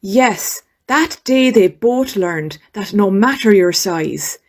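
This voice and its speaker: A woman reading a rhyming children's story aloud as a storyteller, expressively and with dramatic pauses. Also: mid-range voice, expressive, a little mellow. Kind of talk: scripted children's verse reading.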